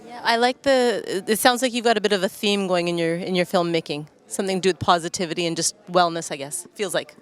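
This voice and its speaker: A woman's voice: fairly low pitch, quick pace, hardly any pauses. A woman interviewing guests casually at a festival for television, with an effusive, encouraging manner.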